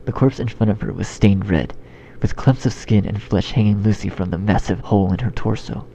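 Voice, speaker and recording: A man's voice: lowish voice, brisk, vocal fry, dark tone, a little hiss or hum, poor audio. A man reading a horror story as narrator in a scripted style. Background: background music.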